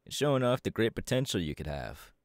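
A man playing a proud, laid-back superhero mentor in an ASMR audio roleplay, talking casually with drawling, hesitant pauses. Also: on the low side, big pitch swings, no room sound, studio quality. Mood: proud, easygoing.

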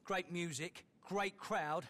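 An actor playing a cocky, laid-back English DJ booker in a video game, with an English accent and breezy, slangy delivery. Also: male voice, sits high, slow, a bit bright. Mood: confident pitching. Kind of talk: video game cutscene acting.

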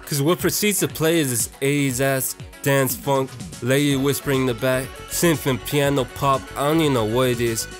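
A man's voice: medium pitch, normal speed, hardly any pauses. Slangy young man narrating over music. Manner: slangy, profane, irreverent. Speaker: video essayist. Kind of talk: casual opinionated video essay narration.

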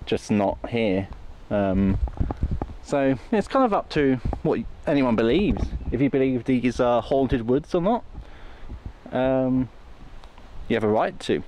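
A man's voice: medium pitch, very animated, slow, dark tone, poor audio. Casual man vlogging outdoors over background noise. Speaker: video host exploring haunted places. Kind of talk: casual vlog chat to camera. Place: outdoors on a woodland walk. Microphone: handheld phone.

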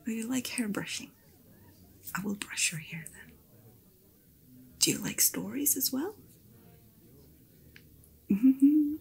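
A woman voicing a kind, attentive fantasy innkeeper in an ASMR roleplay, speaking in a soft, soothing whisper. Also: fairly high pitch, lively intonation, takes it slowly, creaky, long pauses, heavily acted. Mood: gentle, soothing.

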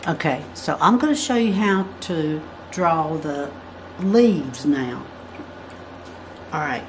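Low-voiced woman explaining a drawing step by step. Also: a little hiss or hum. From United States. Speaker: craft instructor. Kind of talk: drawing tutorial. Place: indoors at a work table.

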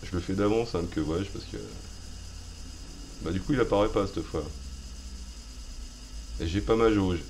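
A man with a deep, low voice talks over a game he is playing. His commentary is rambling and self-mocking, full of playful asides. Game sounds run clearly underneath. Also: normal speed, long pauses, darkish tone, slight background noise, rough recording. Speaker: gaming video host. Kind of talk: joking let's play commentary.

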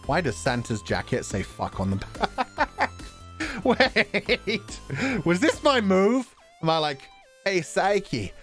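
A man streaming a game, reading its dialogue aloud with jokey ad-libs in a playful, animated manner. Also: mid-range voice. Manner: animated, stammering for effect, jokey. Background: visual novel game music.

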